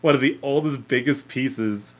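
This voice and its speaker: A man co-hosting a podcast, talking in a casual, joking way, halting and discursive with some swearing. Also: medium pitch. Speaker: podcast co-host.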